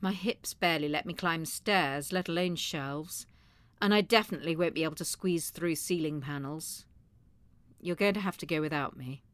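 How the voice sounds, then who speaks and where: A woman's voice: deep voice, moderate pace, no room sound, natural voice. A woman playing a feisty, wry old woman with a rebellious streak in an audio drama. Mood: resolute. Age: older.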